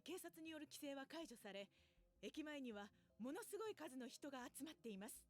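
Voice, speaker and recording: Rapid-fire high-voiced female news reporter. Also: animated. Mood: brisk, serious. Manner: formal, urgent reporting. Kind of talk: news report in Japanese visual novel. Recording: game audio with music, played through stream.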